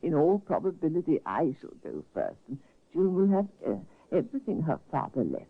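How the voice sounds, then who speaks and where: A fond, chatty old invalid mother in a 1950s radio play, her pitch rising and falling freely. The sound is thin and tinny like a restored old broadcast. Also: sing-song, moderate pace, exaggerated voice. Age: older. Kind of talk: 1950s radio drama acting.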